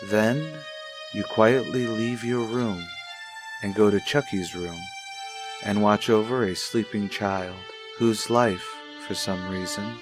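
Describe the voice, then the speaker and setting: An actor playing an intimate, solemn soap opera narrator in old radio style, with deliberate dramatic pauses. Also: male voice, fairly low pitch, music in the background. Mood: solemn, confiding.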